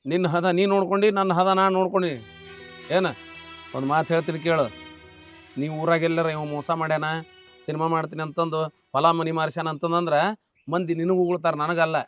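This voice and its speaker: ಉತ್ತರ ಕರ್ನಾಟಕದ ಉಚ್ಚಾರಣೆಯ ಒಬ್ಬ ಗಂಡಸು, ಮೋಸಗಾರ ಸಿನಿಮಾ ನಿರ್ದೇಶಕನ ಪಾತ್ರದಲ್ಲಿ ತಿರಸ್ಕಾರದಿಂದ ಗದರಿಸುತ್ತಾನೆ. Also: male voice, a little high, level tone, a little fast, long pauses, a bit bright, close to an everyday voice. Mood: scornful. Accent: North Karnataka Kannada. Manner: scornful, mocking, bullying. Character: brash swindling fake film director man. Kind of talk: short film drama. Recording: dry, slightly dull, faint music.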